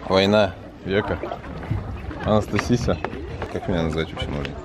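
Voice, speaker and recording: A man's voice: low voice, normal speed. A man in his twenties chatting casually to a handheld camera outdoors, slangy and teasing with friends. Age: 20s. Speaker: vlogger. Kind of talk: casual vlog chat with friends. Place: outdoors at a quarry lake. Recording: loud music, outdoors.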